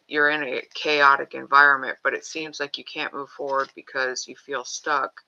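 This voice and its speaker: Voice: medium pitch, bright, forceful tone, dull audio. A person hosting a live stream as a tarot reader, talking casually and bluntly while giving readings. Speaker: psychic tarot reader, livestream host.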